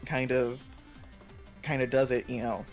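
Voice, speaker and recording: Young guy on a stream telling stories, kinda rambly and halting, medium pitch, faint music behind. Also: fairly even intonation. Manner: rambling, halting storytelling peppered with 'like'. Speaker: stream co-host. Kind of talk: casual chat on a live stream.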